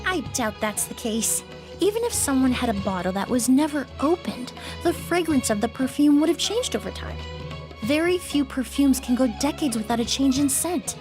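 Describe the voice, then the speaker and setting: A calm, knowledgeable young perfumer with a slightly high voice and lively intonation. She reasons things through in a precise, polite way, and game music is clearly heard behind her. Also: moderate pace.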